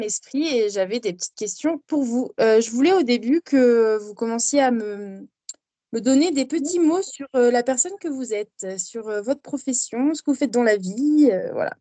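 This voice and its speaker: A woman with a fairly high voice hosts a casual conversation. She puts questions to her guests informally, and the sound is dry. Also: warm tone, no room sound. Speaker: host, interviewer. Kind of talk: casual chat.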